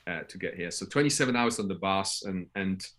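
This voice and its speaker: A man's voice: low-pitched, lively intonation, brisk, fairly bright tone. A man in his thirties with a Polish accent, telling stories casually and bluntly as a podcast guest. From Poland. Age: 30s. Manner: blunt, sweary, tags phrases with "right?". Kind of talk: casual interview storytelling.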